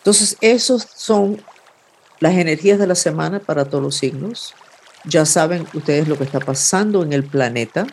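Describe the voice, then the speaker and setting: A man hosting a weekly horoscope reading, giving calm, intimate advice with vivid imagery, in Spanish that is his second language. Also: fairly high pitch, moderate pace, slight background noise. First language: not Spanish. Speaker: astrologer host.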